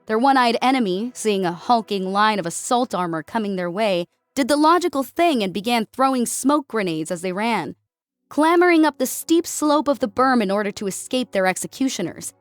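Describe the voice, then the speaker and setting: High-voiced woman narrating fiction. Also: animated, normal speed, no echo, faint music behind. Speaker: audiobook narrator. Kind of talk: dramatic scripted fiction narration.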